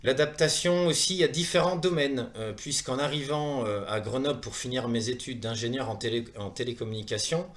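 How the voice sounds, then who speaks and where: Man, thirties, French accent from France, medium pitch, earnest, halting delivery with repeated words, presentation style. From France, Saumur in Maine-et-Loire. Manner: earnest, hesitant, with frequent pauses and word repetitions. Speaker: presenter of a wellness training programme. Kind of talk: semi-prepared online presentation.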